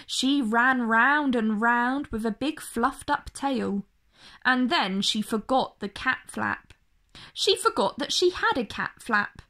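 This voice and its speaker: A woman reading a children's story aloud in an expressive way, phrasing each line clearly and deliberately for young listeners. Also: on the high side. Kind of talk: slow, expressive picture-book read-aloud.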